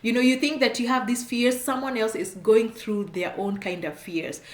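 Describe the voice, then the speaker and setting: A woman's voice: fairly high pitch, fairly even intonation, normal speed. A middle-aged woman with a Kenyan accent, giving frank advice straight to camera, repeating her points and laughing often. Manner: frank, repetitive, emphatic, laughs often, gestures while talking. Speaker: immigration consultant. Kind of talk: direct-to-camera advice talk. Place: indoor room at home, plain wall. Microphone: camera on a desk, seated facing it. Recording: echoey room.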